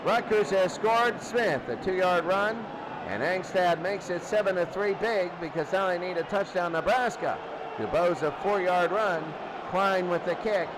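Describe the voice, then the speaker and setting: A man doing live play-by-play football commentary, excitable and rising to shouts on big plays. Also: high-pitched, unhurried. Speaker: play-by-play announcer. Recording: loud crowd and music behind. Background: crowd noise and music.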